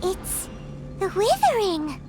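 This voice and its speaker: A woman voicing a tiny, excitable, childlike fairy companion in a video game, with a squeaky, piercing voice and naive, exclamatory delivery. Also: slow, music in the background, exaggerated voice. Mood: alarmed, confused. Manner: speaks of herself in third person, exclamatory.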